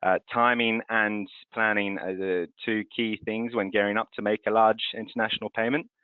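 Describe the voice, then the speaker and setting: A man's voice: lowish voice, little pitch movement, moderate pace, clean tone, no echo. Businesslike man on a tinny call. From France. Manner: fluent, businesslike, explanatory. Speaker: webinar host, currency transfer representative. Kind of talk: presenting a webinar. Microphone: video call. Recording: tinny video call audio.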